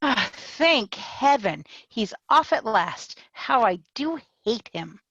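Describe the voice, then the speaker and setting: A woman's voice: fairly high pitch, big pitch swings, fairly bright tone, no echo, exaggerated voice. A woman playing a petulant greedy young lady. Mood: scornful. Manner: petulant and unhurried. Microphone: video call. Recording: dull, dry video-call audio.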